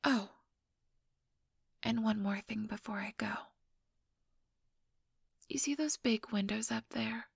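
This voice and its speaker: A very breathy, whispering female vampire acted by a woman in an ASMR role-play. She speaks slowly and condescendingly, mock-sweet on the surface and coldly menacing beneath. The sound is close and dry. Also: a little high, no echo, heavily acted. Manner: slow, taunting, mock-sweet. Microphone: close, dry microphone.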